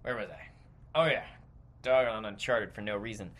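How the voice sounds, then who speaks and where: A man's voice: fairly low pitch, fairly even intonation, normal speed, a bit bright. A man hosting a podcast, delivering a casual, opinionated rant in a rambling, digressive and emphatic way. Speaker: podcast host.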